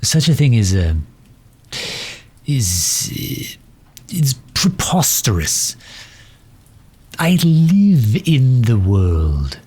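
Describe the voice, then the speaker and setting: A man playing a pompous, pedantic but affable gentleman supervillain in an ASMR roleplay, with a husky, breathy voice and a wordy, condescending manner full of hesitant pauses. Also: mid-range voice, big pitch swings, exaggerated voice. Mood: flustered, indignant. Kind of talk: ASMR roleplay audio drama.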